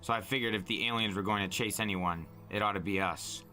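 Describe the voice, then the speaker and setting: A low-voiced man tells a dramatic tale as narrator. His tone is bright and forceful, the phrasing intense and deliberate, with music behind him. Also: fairly even intonation. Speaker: storyteller, podcast narrator.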